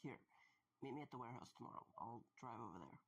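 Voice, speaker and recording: Man acting subdued, gravelly-voiced hesitant man. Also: medium pitch, expressive, fairly bright tone. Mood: serious, probing. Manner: hesitant, halting delivery. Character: Mike, subdued, empathetic man facing haunted animatronics. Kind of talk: audio drama acting.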